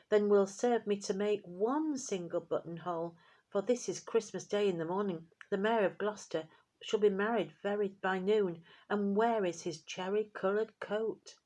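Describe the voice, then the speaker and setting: A woman's voice: mid-range voice, a little slow, a little mellow, pitched above the performer's own voice, lightly acted. A woman voicing a poor, weary old tailor who talks in a tired, lamenting way in a storybook.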